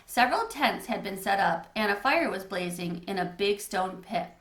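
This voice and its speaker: A woman's voice: mid-range voice, lively intonation, normal speed, fairly bright tone. A woman reading a children's storybook aloud as narrator in an echoey room. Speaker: read-aloud reader.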